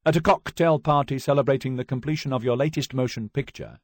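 A man voicing a stern Soviet state prosecutor who reads charges in a loud, formal, accusing way. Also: medium pitch, fast, dry recording, studio quality, pitched above the performer's own voice, lightly acted.

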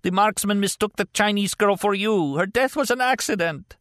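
Shrill, agonized wounded man. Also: moderate pace, a bit bright, no room sound, studio quality. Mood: agonized, pleading. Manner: strained, agonized pleading. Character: frantic wounded foreign man, pleading in agony.

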